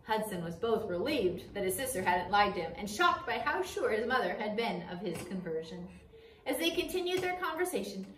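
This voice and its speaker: A middle-aged woman reading a story aloud in a measured, expressive way, recorded at a distance in a small classroom. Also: fairly high pitch, slight background noise. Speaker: teacher reading a book aloud. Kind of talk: read-aloud storytelling from a children's biography. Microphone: camera across a table. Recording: roomy, picked up from a distance.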